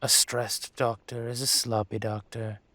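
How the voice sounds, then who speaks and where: A man playing an aging dragon mafia boss in a roleplay audio drama, speaking in a smooth, deliberate, courtly manner with veiled menace. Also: mid-range voice, level tone, sharp tone, no echo. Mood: composed, authoritative. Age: older.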